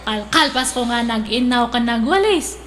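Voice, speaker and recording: A woman's voice: on the high side, lively intonation, normal speed, a bit bright, slight background noise. An actress in an Ilocano radio drama playing a blunt young woman who grumbles and pushes back. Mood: grumbling. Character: young woman Sylvia, sharp-tongued and unwilling.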